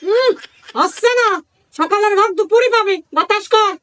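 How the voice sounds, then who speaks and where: A woman acting a domineering rural wife in a comedy skit. She gives scolding orders in an extremely high, piercing voice. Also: normal speed, a bit bright. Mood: bossy scolding. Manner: bossy, scolding. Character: domineering village wife ordering her husband to fan her.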